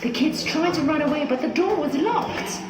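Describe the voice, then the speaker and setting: A high-voiced woman tells a scripted children's fairy tale. Her delivery is expressive and very lively in pitch, and the recording is echoey with music playing underneath. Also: moderate pace. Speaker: storyteller.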